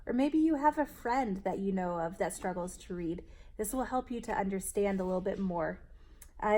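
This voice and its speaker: A woman reads a children's story aloud as a story time host. Her pace is slow and deliberate, with a mid-range pitch. The delivery is expressive and measured for young listeners.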